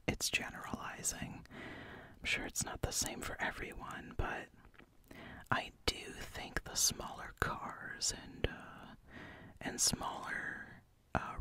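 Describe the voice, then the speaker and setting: An American speaker chatting in a soft, unvoiced ASMR whisper, musing and laughing at their own ideas. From United States.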